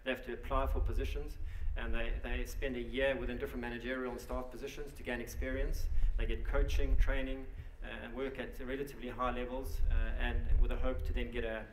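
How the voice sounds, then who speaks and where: Man presenting at a conference, halting with lots of fillers, echoey hall, mic a bit far off. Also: mid-range voice, level tone, a little hiss or hum. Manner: halting, many fillers and restarts. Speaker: company presenter.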